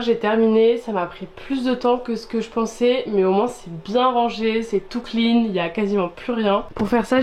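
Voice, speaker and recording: A woman's voice: medium pitch, darkish tone, a little hiss or hum. Chatty young French woman vlogging at home. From France. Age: young adult. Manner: chatty, upbeat, slangy. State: has a cold. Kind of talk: casual vlog narration.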